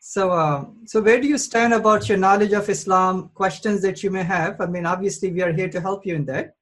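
Husky-voiced man calmly explaining. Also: high-pitched. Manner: measured, explains with examples. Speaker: host, Islamic outreach. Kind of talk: calm explanatory interview.

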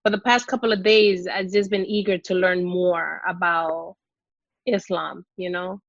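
Hesitant, soft-spoken woman from the Dominican Republic. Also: on the low side, steady tone, a little slow, no echo, muffled recording. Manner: hesitant, many fillers and restarts. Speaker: guest exploring Islam. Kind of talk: hesitant personal conversation.

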